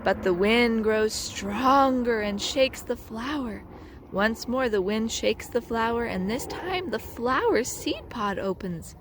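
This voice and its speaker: A woman narrates a children's picture book in a fairly high voice. She takes her time, reading very slowly and deliberately. Her delivery is expressive, leaning on key words for dramatic emphasis. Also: slight background noise. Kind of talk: children's read-aloud narration.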